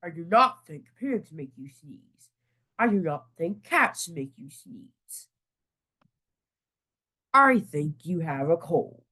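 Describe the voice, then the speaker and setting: A reader voicing a calm, matter-of-fact cat doctor in a children's picture book, deliberate and reassuring. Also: mid-range voice, heavily acted.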